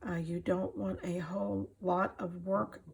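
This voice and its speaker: Gravelly middle-aged woman teaching crafts. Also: fairly low pitch, level tone, unhurried. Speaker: craft tutorial host. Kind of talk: casual craft demonstration while sewing. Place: indoors at a craft table. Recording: clear background noise, room ambience.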